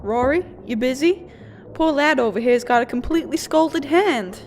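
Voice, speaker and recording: A woman's voice: sits high, normal speed, slight background noise. A woman voicing a kindly but unimpressed receptionist in a comedic fantasy audio drama, dry at times and cooing at others. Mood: concerned.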